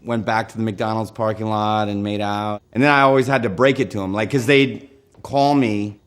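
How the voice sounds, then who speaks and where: A man in his thirties from Northern California, telling relaxed stories in an interview with lots of fillers. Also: fairly low pitch, moderate pace, smooth. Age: 30s. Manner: relaxed storytelling with frequent fillers. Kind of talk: casual anecdotal interview.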